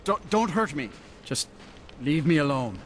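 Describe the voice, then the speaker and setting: A man's voice: on the high side, sing-song, unhurried, a little hiss or hum. A man playing a hostile, bigoted townsman bully with a cowardly core in a fantasy game, speaking with a sneering manner. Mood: frightened. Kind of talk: fantasy game character acting.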